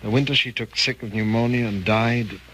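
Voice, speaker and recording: An American actor playing an earnest, grieving middle-aged widower reminiscing sorrowfully in a 1940s radio drama. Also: male voice, medium pitch, fairly even intonation, normal speed, fairly bright tone, lightly acted. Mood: grieving, wistful. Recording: noisy vintage 1940s radio recording.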